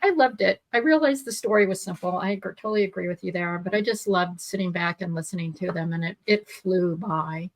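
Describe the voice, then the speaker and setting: A woman's voice: on the low side, a little fast, hardly any pauses, no echo, poor audio. A woman co-hosting a podcast, casually giving firm opinions with emphatic phrasing. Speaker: podcast co-host. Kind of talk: casual review discussion.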